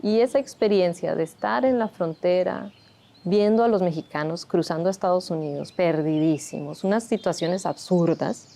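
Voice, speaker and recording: Mellow low-voiced woman, reflective. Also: moderate pace, gravelly. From Colombia. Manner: reflective, candid storytelling. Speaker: interviewee, migrant shelter founder. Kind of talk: documentary interview.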